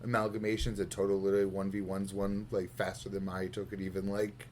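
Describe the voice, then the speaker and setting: A man co-hosting a podcast, chatting casually. He is laid-back, laughs easily and lets his sentences trail off quietly. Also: low-pitched, completely flat delivery, fast, slight background noise. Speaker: podcast co-host. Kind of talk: casual chat.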